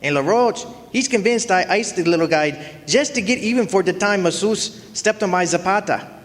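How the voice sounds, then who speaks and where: Brief: an actor playing a sleazy, rambling trainer with a put-on Latin accent, pitched high, in a long echoing hall. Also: male voice, normal speed, natural voice. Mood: suspicious. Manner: rambling, trailing off. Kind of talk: live stage radio play acting. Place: historic club hall, live audience performance.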